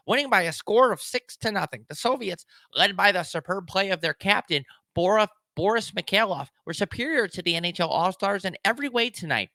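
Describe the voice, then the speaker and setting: A man voicing an emphatic television sports announcer, crisp and declarative in delivery. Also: high voice, sharp tone, no room sound, heavily acted.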